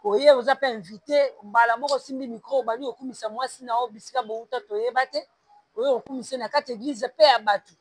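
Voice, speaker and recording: A man's voice: very high voice, steady tone, a little fast, no room sound. A man hosting an interview, mixing with Lingala and giving frequent short acknowledgements. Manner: mixes French and Lingala, frequent short backchannels. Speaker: web TV host. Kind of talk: interview questions.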